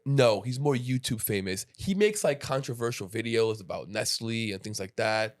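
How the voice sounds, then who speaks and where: A man's voice: fairly low pitch, expressive, moderate pace. A man in his late thirties hosting a podcast, chatting casually and rambling with restarts and asides. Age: 30s. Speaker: podcast host. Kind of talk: casual interview chat.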